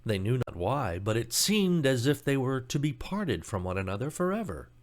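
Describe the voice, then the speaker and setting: A man's voice: medium pitch, very animated, moderate pace. A man reading a scripted fairy tale as a storybook narrator, telling it expressively.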